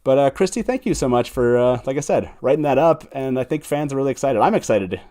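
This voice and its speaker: Male host for a live fan call-in show. Medium pitch, casual and keen, leans on his words. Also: animated, fast talker, mellow. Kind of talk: casual show hosting, introducing guests.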